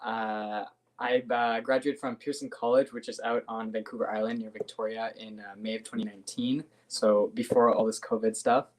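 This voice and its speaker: A young university student introducing himself on a video-call panel, upbeat and eager to help. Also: male voice, fairly low pitch, level tone, moderate pace. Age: young adult.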